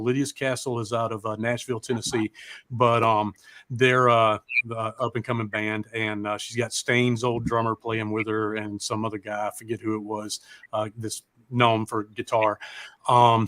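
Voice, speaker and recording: A man's voice: mid-range voice, fairly bright tone, no echo. Informal, rambling man hosting a live show. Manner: informal, mildly profane, often losing his train of thought. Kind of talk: casual rambling chat and show promos.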